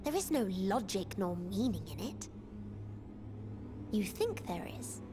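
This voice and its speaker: Solemn, slow-spoken, doom-laden girlish being. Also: medium pitch, very animated, background noise. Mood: bleak, resigned. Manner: solemn, measured, fatalistic speech. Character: sorrowful, nihilistic young female hive-mind being from the stars. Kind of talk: video game cutscene acting. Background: music.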